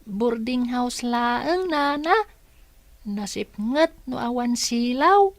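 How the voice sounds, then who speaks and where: A woman's voice: on the high side, animated, slow, no echo. A woman voicing a polite, cheeky and eager little village boy in a radio drama. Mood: cheeky, innocent. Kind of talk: Ilocano radio drama acting.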